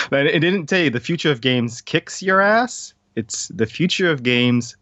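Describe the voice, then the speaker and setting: A man's voice: a little high, lively intonation, slow, bright, forceful tone, dry recording. Laid-back man from Hawaii, muffled. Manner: laid-back, rambling with many fillers. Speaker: interview guest. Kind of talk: casual conversational interview. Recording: dull lo-fi dry audio.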